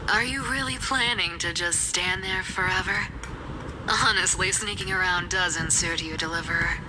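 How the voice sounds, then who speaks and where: A woman's voice: lowish voice, moderate pace, background noise, lightly acted. An actress voicing a sly, teasing female thief who speaks wryly, heard in game audio with music behind. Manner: teasing, wry. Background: background music.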